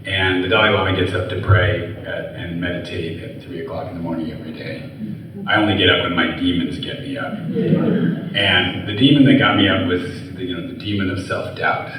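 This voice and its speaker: A man's voice: sits low, steady tone, moderate pace, fairly bright tone. A man from the US, an author telling personal stories onstage, talking in a rambling way with many fillers and restarts. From USA. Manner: rambling, filler-heavy, self-correcting, laughs easily. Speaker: author and interview guest. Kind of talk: personal storytelling in an onstage conversation. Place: large hall at a live event. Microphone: handheld microphone, trouble with the sound. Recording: echoey hall from far off, audible noise.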